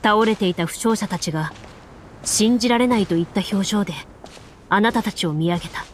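A woman voicing a composed game master, narrating a grim fantasy tale in a measured, solemn way. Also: mid-range voice, a little hiss or hum, lightly acted. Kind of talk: scripted tabletop story narration.

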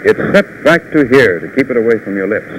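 A middle-aged American man hosting a 1950s television news show, with polished, deliberate announcer delivery. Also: medium pitch, very animated. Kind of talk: 1950s live TV news and sponsor pitches. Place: television studio. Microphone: lapel or tie microphone. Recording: noisy vintage 1950s television broadcast.

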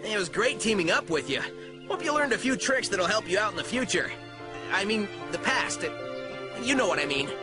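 An actor voicing a cocky, upbeat teenage hedgehog hero in a video game cutscene, brash and breezy. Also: male voice, high voice, sing-song, moderate pace, over music. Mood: friendly, upbeat.

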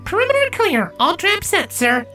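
A man's voice: very high-pitched, big pitch swings, moderate pace, pushed and bright, faint music behind, pitched above the performer's own voice, exaggerated voice. A man voicing a chirpy, eager young creature scout in a fantasy story.

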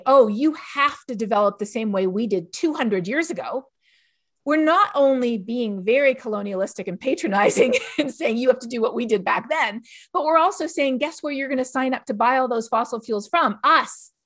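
A middle-aged woman, an author, talking in a lively way on a video call from a home office, asking rhetorical questions as she explains. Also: a little high, normal speed, no room sound, studio quality. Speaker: author and guest.

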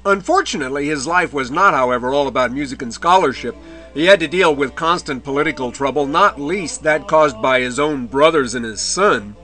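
A man giving an illustrated history lecture in a measured way, with the odd wry aside. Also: mid-range voice, expressive, sharp tone. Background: faint medieval music.

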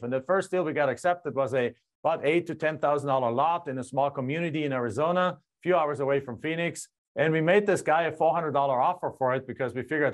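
A middle-aged man from Germany with a German accent, a guest telling his story animatedly in a casual interview, recorded on a lapel mic in a home studio. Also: fairly high pitch. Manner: animated, gestures a lot, frequent restarts. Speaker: guest, land investor. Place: home studio with screen and map backdrop.

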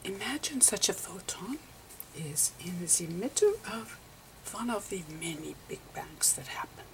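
A woman voicing an eccentric elderly male physicist, whispering a halting, patient ASMR roleplay lecture. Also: medium pitch, big pitch swings, recorded from a distance, noisy background. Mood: hushed, wondering. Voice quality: breathy whisper. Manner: halting, repetitive, patiently explaining. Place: indoors at a slate chalkboard.

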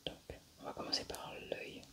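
A young woman whispers breathily and haltingly in an ASMR roleplay, murmuring step-by-step commentary as a scientist. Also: sharp tone. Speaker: ASMR roleplayer playing a scientist examining samples.

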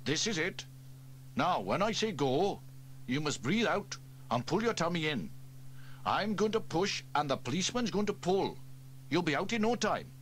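A man voicing a cheerful adult male fireman in a children's audio story, speaking brightly and briskly. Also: a little high, sing-song, a little hiss or hum, pitched above the performer's own voice. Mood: encouraging. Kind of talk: audiobook character voice.

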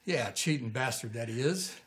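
An actor in his sixties playing a gruff, wounded older American man, blunt and sardonic, in naturalistic film dialogue. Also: mid-range voice, lively intonation, moderate pace, fairly bright tone, lightly acted. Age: 60s.

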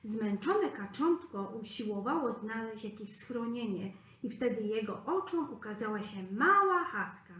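A woman reading a fairy tale aloud to preschool children in an expressive, sing-song way. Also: a little high. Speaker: storyteller at a preschool. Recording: echoey room.

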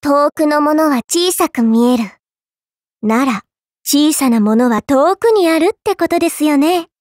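Very high, mischievous little girl villainess. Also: expressive, a little slow, darkish tone, no echo, clean studio sound. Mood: teasing, playful. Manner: coy, polite, playfully menacing. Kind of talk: Japanese mobile game character voice lines.

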